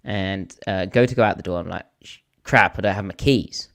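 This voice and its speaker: A man with a hoarse voice, co-hosting a podcast and rambling through stories in casual chat. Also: deep voice, moderate pace. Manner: rambling storyteller, animated when telling a story. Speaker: podcast co-host.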